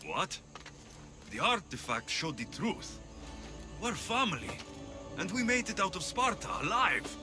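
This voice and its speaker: Earnest, defiant, lively young warrior. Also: male voice, high-pitched, measured pace, pauses often, music in the background. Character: earnest, defiant young Greek mercenary brother seeking family. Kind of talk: video game dramatic acting.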